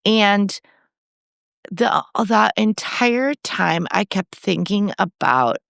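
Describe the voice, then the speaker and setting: A woman, the mother half of a podcast duo, chatting casually and telling stories with lively restarts and laughs. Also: drawn-out words, gravelly, no room sound, clean studio sound. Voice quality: low voice. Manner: animated storytelling, frequent restarts and laughs. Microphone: headphones on, studio-style setup.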